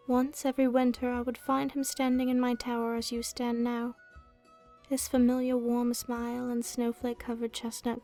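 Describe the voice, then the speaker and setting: An ancient female dragon, played by a woman in a gentle ASMR fantasy roleplay, with a high, mellow voice. She speaks wistfully in formal, storybook phrases, keeping her melody very even over background music. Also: close to an everyday voice. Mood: fond, wistful.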